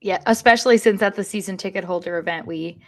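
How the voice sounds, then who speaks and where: A woman's voice: medium pitch, quick pace. A woman co-hosting a soccer fan podcast in casual conversation. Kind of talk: casual sports chat.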